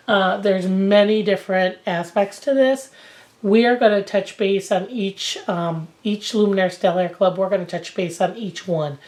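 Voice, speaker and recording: A woman in her forties talks through a sewing lesson while getting over a cold. She rambles in a relaxed way, with plenty of ums and false starts. The camera mic catches the sound of a cluttered home sewing room. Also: medium pitch. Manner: chatty, many fillers and restarts. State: recovering from a cold. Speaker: sewing instructor. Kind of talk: casual how-to demonstration.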